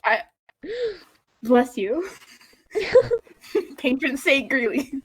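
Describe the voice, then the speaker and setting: A woman's voice: high-pitched, very animated, extremely slow, husky, dry recording. A woman chatting casually and reading fanfiction aloud, with a playful, giggly, joking manner. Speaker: co-reader on a call. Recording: noisy online call with clicks.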